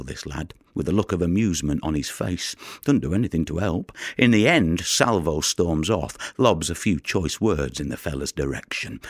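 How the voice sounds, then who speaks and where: An actor playing a world-weary, wary fisherman in an audio drama monologue, with a gravelly voice and a wry, colloquial way of telling it. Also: sits low, no room sound, clean studio sound, full character voice. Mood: wry, frustrated.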